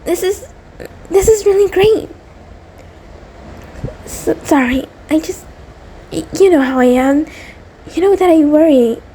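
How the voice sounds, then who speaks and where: Woman playing a high-voiced, anxious centipede girl. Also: mellow, slight background noise, poor audio. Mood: worried, tearful. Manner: nervous, stammering, halting, apologetic. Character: anxious, affectionate female centipede monster, neurotic post-apocalyptic partner. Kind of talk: intimate ASMR roleplay audio drama.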